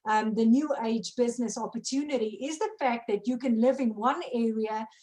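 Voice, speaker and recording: A woman's voice: fairly high pitch, normal speed, mellow. A woman from hosts a business video call and introduces her guests with friendly enthusiasm. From South Africa. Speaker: host.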